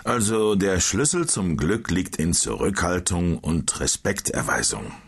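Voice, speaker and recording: A man's voice: low voice, expressive, a little slow, breathy edge. A man in a scripted audiobook dialogue, asking his colleague curious questions.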